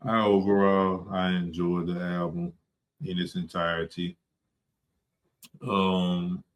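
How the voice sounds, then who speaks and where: A man's voice: deep voice, slight background noise, rough recording. A young man co-hosting a casual music review podcast, laid-back and searching for his words. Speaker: podcast co-host.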